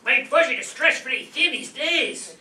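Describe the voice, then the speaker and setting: A man's voice: very high voice, lively intonation, moderate pace, sharp tone, slight background noise, full character voice. A man acting an earnest, struggling homeowner in a comic radio play on stage. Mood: doubtful, curious. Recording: echoey stage, distant mic.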